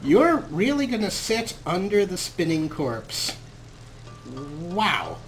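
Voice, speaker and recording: A man's voice: sits high, wildly swooping pitch, slow, a little hiss or hum. A man hosting a let's play with wry, amused, casual commentary.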